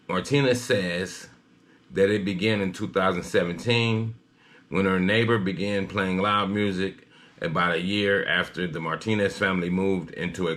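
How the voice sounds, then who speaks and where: A man hosting a news commentary, reading and discussing a story with a somewhat halting delivery. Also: deep voice, fairly bright tone.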